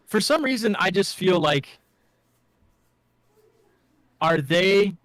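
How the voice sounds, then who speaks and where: Higher-pitched male co-host, closely recorded. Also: lively intonation, takes it slowly, fairly bright tone, dry recording, studio quality. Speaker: podcast co-host. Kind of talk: casual pop culture discussion.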